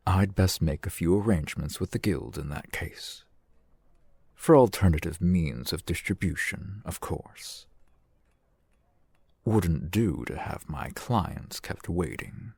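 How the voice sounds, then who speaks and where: A man playing a suave, shrewd fantasy shopkeeper in an audio roleplay, speaking in measured, formal, wry phrases with a gravelly, husky voice, close to the mic. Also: sits low, lively intonation, a little mellow, no room sound, full character voice. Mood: wry, unhurried. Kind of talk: fantasy ASMR roleplay acting. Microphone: close microphone, dry sound.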